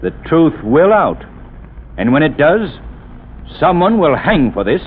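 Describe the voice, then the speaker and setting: A man playing a calm, wealthy amateur sleuth in a 1930s radio drama, measured and commanding. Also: fairly high pitch. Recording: tinny old radio broadcast with faint hiss.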